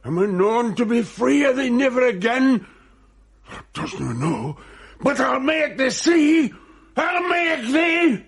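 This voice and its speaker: An angry ghost from a bygone age in a radio play. He declaims archaic threats and pleas slowly, pitched extremely high and rough at the edges. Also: sing-song, exaggerated voice. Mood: furious, tormented. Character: angry vengeful old-time male ghost, menacing and pleading. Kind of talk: radio drama acting.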